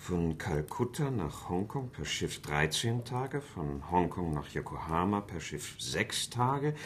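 A very low male voice with plenty of melody, a cautious, genteel gentleman of an English club. It is radio drama acting. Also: very animated, slow pace, a little hiss or hum, heavily acted. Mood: interested. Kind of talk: German radio play acting.

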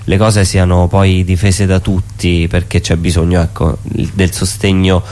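A man speaking as a theatre performer in a casual radio interview, explaining things in a wordy, halting way. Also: deep voice, level tone, darkish tone.

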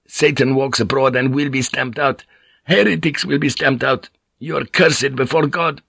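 A man's voice: medium pitch, normal speed, bright, forceful tone, dry recording. Man acting a fiery young Portuguese priest, hostile, venomous, impatient, audiobook character, close dry sound. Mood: furious, damning. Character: hot-tempered Portuguese priest in his twenties, stern and hostile. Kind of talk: dramatised audiobook dialogue.

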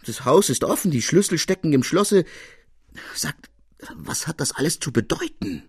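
Mann spielt aufgeregten, verängstigten treuen Diener. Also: male voice, a little high, lively intonation, normal speed, husky, no echo, clean studio sound, pitched above the performer's own voice, heavily acted. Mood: agitated. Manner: agitated, breathless storytelling, urgent. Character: honest frightened servant man, pale and distraught. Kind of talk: dramatic audiobook character reading.

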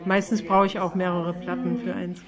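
A woman's voice: lowish voice, steady tone, moderate pace. A woman artist answering questions casually in an interview recorded in a shop. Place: small shop or gallery indoors. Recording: busy background chatter, recorded on location.

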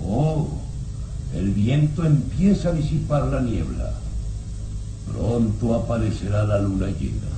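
An actor playing a sinister, courteous old-world baron and father in a radio drama, with theatrical, deliberate phrasing. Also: fairly low pitch, lively intonation, background noise. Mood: ominous relish.

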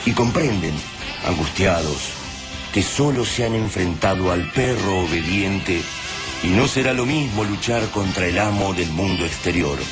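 A man's voice: low-pitched, expressive, a little slow. A man narrating a radio play in a grave, portentous, dramatic scripted style. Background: music.

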